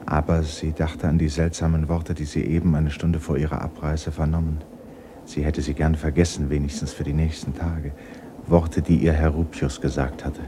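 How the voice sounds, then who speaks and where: A man narrating a literary radio drama in a solemn, measured storytelling manner. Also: very deep voice, flat delivery, noisy background.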